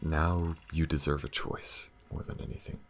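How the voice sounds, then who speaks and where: A man playing a gentle, battle-weary alien lover in an intimate ASMR roleplay, speaking softly and haltingly with a husky, breathy voice. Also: a bass voice, little pitch movement, slight background noise. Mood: tender, earnest. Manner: soft, halting, hesitant, sighing delivery.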